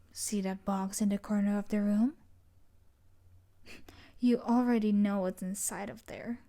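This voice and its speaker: A woman playing an obsessive yandere stalker from a dark romance book in an intimate audio roleplay, breathy and coaxing with quiet menace, often dropping into a whisper. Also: mid-range voice, flat delivery, unhurried, natural voice. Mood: annoyed, threatening.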